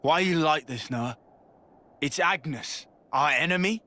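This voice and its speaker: An actor playing a confrontational, exasperated young soldier in a video game, blunt and challenging. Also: male voice, on the high side, a little slow, pushed and bright. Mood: reproachful. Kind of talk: scripted game dialogue.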